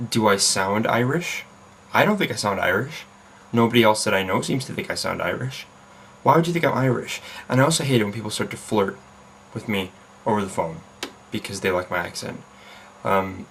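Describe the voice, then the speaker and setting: A man's voice: on the low side, vocal fry, a bit bright, slight background noise. A young man with a mixed American and Leeds accent, chatting to a webcam at his computer. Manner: rambling, self-interrupting, with fillers. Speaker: vlogger. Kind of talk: casual chat to camera. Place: home room at a computer desk.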